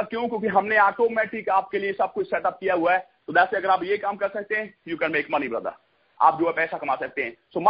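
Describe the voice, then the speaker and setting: A man with a very high-pitched, husky voice delivers a network marketing pitch. He repeats himself and mixes in English catchphrases. The sound is dull and lo-fi, like a conference call line.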